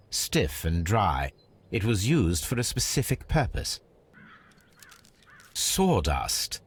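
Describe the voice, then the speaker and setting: Brilliant British gentleman sleuth for a game: low, measured, analytical, aloof, faint noise behind. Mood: musing. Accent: British English. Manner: precise, measured, superior, analytical. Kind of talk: video game character acting.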